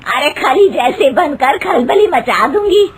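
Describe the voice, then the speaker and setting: A brash middle-aged mother-in-law from a comic story, acted by a woman, very high in pitch and unhurried. She is starstruck and theatrical, boasting grandly in every phrase. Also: big pitch swings, heavily acted. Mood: defiant. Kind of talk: comic audio story acting.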